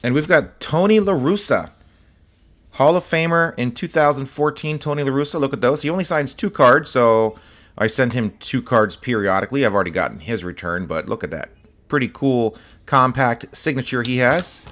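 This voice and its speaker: A man with a slightly hoarse, scratchy voice, chatting casually as host while showing autographed sports cards. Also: medium pitch, moderate pace. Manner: relaxed, chatty, many fillers and asides. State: slightly hoarse, voice partly lost. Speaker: host of a card-collecting channel.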